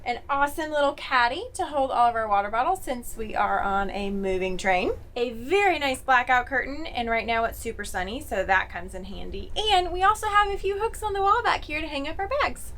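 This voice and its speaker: Female vlogger, high voice, upbeat show-and-tell, faint ambient noise. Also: brisk, hardly any pauses, a bit bright. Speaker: travel vlogger. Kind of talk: casual tour narration. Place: small sleeper train cabin.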